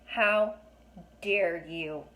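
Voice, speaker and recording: A woman's voice: lowish voice, drawn-out words, fairly bright tone, rough recording. A woman acts out a fairy council member in a children's tale. The delivery is formal and severe, that of someone stern who expects to be obeyed. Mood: affronted.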